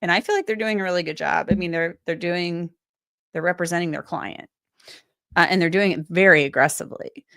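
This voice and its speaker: A woman's voice: fairly low pitch, fairly quick, fairly bright tone, no echo, studio quality. Woman with a cold-strained voice, chatting. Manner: chatty, loose and thinking aloud, with many restarts and asides. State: recovering from a cold, voice a bit strained. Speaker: legal commentator and livestream host. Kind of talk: casual livestream chat reading viewer comments.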